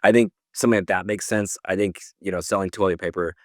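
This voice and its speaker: A man hosting a podcast, talking in a relaxed, informal way and steering the chat. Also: sits low, fast talker, no room sound, studio quality. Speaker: host.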